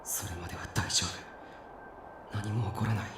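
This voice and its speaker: A man's voice: deep voice, steady tone, fast, very noisy, natural voice. An actor playing a troubled, emotionally volatile teenage boy in a visual novel. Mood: anxious whispering. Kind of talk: Japanese visual novel voice acting.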